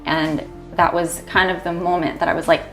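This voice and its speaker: A woman in her thirties speaking in a measured, reflective way in a documentary interview. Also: on the low side, level tone, rough recording. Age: 30s. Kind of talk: documentary interview, personal testimony. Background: faint music.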